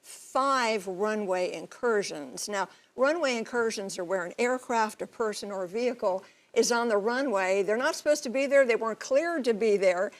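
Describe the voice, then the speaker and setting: A woman reporting as a TV news correspondent, explaining things in measured, clear phrases. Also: on the high side. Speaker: TV transportation correspondent and pilot.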